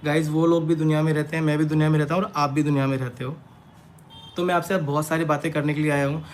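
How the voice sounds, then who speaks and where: A man's voice: on the high side, flat delivery, fast. A man speaking unscripted to his followers on a live stream, defensive and emphatic, repeating his points, with some background noise. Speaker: online creator answering accusations. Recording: noisy live-stream audio with background ambience.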